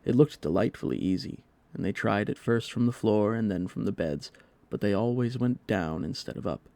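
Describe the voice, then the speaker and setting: Guy narrating a kids audiobook, low mellow voice, dry close sound. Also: moderate pace, gravelly, no echo. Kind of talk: scripted storybook narration.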